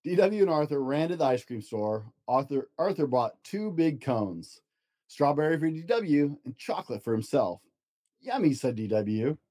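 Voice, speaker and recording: Mellow, lively male storybook narrator. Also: mid-range voice, normal speed. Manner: expressive, encouraging address to young listeners. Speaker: podcast host reading children's books aloud.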